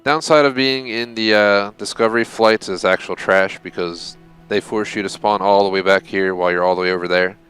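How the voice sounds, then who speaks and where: A man's voice: fairly low pitch, steady tone, fairly quick, hardly any pauses, a bit bright, clean tone, faint music behind. A young man giving casual, rambling live commentary while playing a game, swearing when frustrated. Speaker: game streamer.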